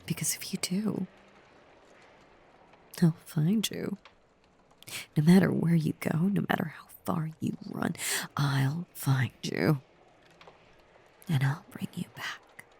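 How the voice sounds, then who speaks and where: A woman voicing a possessive, obsessively loving female werewolf in an intimate, breathy, softly menacing ASMR roleplay. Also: lowish voice, takes it slowly, long pauses, exaggerated voice. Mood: obsessive, threatening. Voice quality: breathy, whispery.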